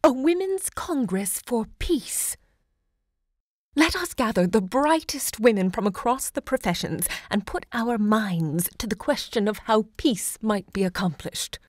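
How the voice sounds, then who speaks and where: An actress playing a grand, impassioned woman who hosts a literary salon, looking back in deliberate, theatrical monologue. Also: on the high side, lively intonation, no room sound. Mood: impassioned, defiant. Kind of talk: theatrical audio drama monologue.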